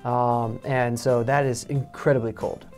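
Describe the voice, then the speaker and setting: A man in his thirties from Texas with a Texan accent, presenting an English lesson in a relaxed, chatty way from a studio. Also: mid-range voice, unhurried, soft background music. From Texas, United States. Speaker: English teacher. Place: studio in front of a backdrop.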